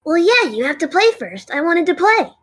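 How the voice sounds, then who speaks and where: A woman's voice: very high-pitched, sing-song, normal speed. A woman voicing a childish 200-year-old young dragon with a baby voice, cheerful about eating her guests, in a fan audio drama. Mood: playful.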